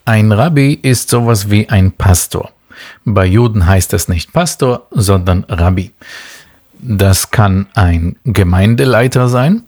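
Male guest from Israel, low gravelly voice, slow and patient explainer. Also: darkish tone. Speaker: interview guest, tour guide. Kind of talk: explaining to children in an interview.

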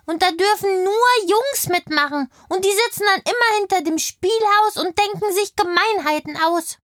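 A woman's voice: very high-pitched, moderate pace, fairly bright tone, no room sound, clean studio sound. A woman playing a chatty, indignant kindergarten girl in a children's audio play, telling things in childlike everyday words. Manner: childlike, colloquial storytelling.

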